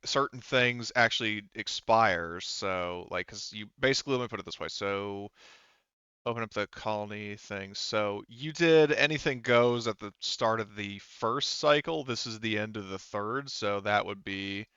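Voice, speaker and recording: A man running an online tabletop roleplaying game as game master, talking casually and haltingly as he thinks aloud and corrects himself. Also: on the low side, bright, forceful tone, clean tone, no room sound, lo-fi sound.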